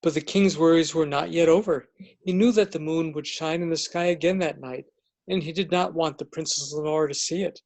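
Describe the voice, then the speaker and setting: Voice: mid-range voice, fairly even intonation, normal speed, darkish tone. A narrator reading a storybook tale in a scripted readers theater performance.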